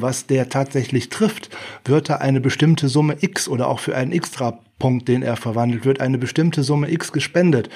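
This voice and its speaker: A middle-aged man co-hosting a sports podcast, explaining his view at a measured pace with frequent "äh" fillers. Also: mid-range voice, a little mellow. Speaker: podcast co-host. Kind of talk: casual sports podcast discussion.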